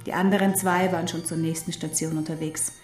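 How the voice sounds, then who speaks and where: An actress in a German radio drama playing a knowing teacher who explains history in a deliberate, emphatic way. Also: female voice, low-pitched, little pitch movement, echoey room, natural voice. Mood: matter-of-fact, emphatic. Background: music.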